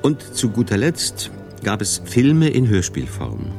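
A man's voice: on the low side, wildly swooping pitch, unhurried, creaky, over music. A man narrating a scripted radio documentary as its main narrator.

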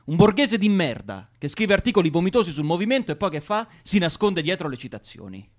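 Irritable young Roman militant for radio drama, lightish voice, lively swings, sarcastic and foul-mouthed. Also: male voice, high-pitched, a little fast. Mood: indignant. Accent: Roman Italian. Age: young adult.

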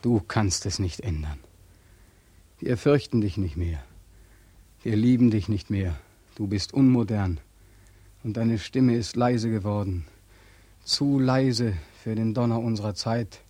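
An actor playing a bitter, despairing young war veteran in a 1940s German radio drama, anguished, sardonic and accusing. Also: male voice, on the low side, measured pace, pauses often, warm tone, dry recording. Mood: resigned, weary. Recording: dry 1940s radio studio recording.

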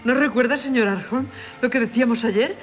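An actress playing a passionate, yearning wife in a 1970s radio drama, fervent and theatrical, with a Castilian Spanish accent. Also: female voice, a little high, a little fast, soft background music, natural voice. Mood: contented. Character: passionate, yearning doctor's wife, torn then resolute. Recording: muffled, slightly noisy 1970s radio recording.

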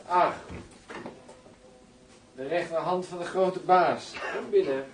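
An actor playing a cool, sardonic undercover bodyguard in a radio drama, dry and clipped. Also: male voice, sits high, slow pace, reverberant, distant mic, noisy background. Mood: casual.

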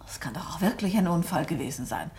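A woman's voice: on the low side, animated, fast talker, darkish tone, natural voice. An actress playing a composed, direct woman novelist in a German radio drama, articulate and dryly matter-of-fact. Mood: reasoning, defending.

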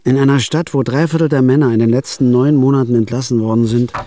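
A man's voice: medium pitch, steady tone, quick pace, mellow, no room sound, lightly acted. An actor playing a bitter, out-of-work gambler who narrates his own story in a radio drama. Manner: bitter, blunt, crude.

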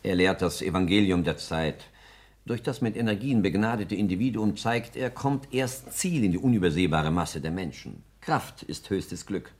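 Radio-drama role: male actor as pompous literary intellectual, low voice, lofty and declamatory, ardent. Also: normal speed, lightly acted. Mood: preaching. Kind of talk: 1960s German radio play acting.

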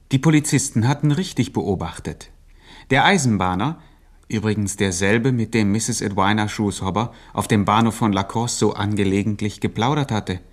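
A male storyteller for a radio serial, with a fairly low voice. He delivers scripted narration in measured phrases with dry irony.

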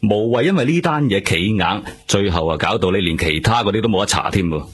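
An actor playing a seasoned, pragmatic police detective with a husky voice and a Hong Kong Cantonese accent, in a radio drama. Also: male voice, on the low side, animated, a little fast, a bit bright. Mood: frank, advising.

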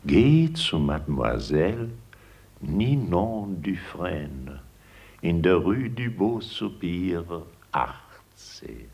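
The Prophet as a bodiless presence in a vintage radio play, his voice very low and gravelly, with a breathy rasp. He speaks with extreme slowness, and his commanding tone rises and falls widely. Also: sing-song, a little mellow, full character voice. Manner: slow, commanding, murmured instructions. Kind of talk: 1950s radio drama acting.

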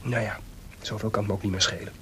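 Mozart, a wry, defiant composer, noisy recording. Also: male voice, steady tone, quick pace, sharp tone. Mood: musing, wry. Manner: wry, informal, headstrong. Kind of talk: Dutch radio drama acting. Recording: clearly noisy, rumbling archive radio recording.

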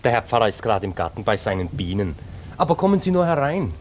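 An actor playing a talkative, obliging village parsonage attendant in a radio drama, with a helpful manner. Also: male voice, on the low side, big pitch swings, fast. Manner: chatty, helpful. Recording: echoey, faintly noisy radio recording.

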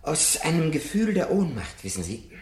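An actor playing a timid, anxious old literature professor in a 1970s German radio play. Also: male voice, on the high side, sing-song, normal speed. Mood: confiding. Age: older.

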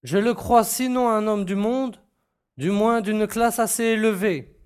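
A man performs a young male sleuth for an audio drama. His voice sits high for a man, and he speaks with confidence and a restless, impatient edge. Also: unhurried, a little mellow, clean tone. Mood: assured. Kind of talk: French audiobook drama dialogue.

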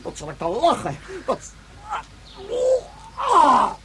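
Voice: high-pitched, huge pitch swings, takes it slowly, very noisy, heavily acted. An actor in a radio drama playing a sick, miserable, agitated citizen, stammering and gasping in distress. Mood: disgusted, raving. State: nauseous, in distress. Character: sick, miserable, agitated citizen force-fed sweet eel.

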